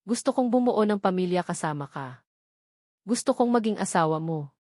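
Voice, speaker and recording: A woman's voice: lowish voice, lively intonation, moderate pace, a little mellow, no room sound, studio quality. A woman with a Filipino accent voicing an earnest, devoted man in love in a narrated romance story, tender and pleading.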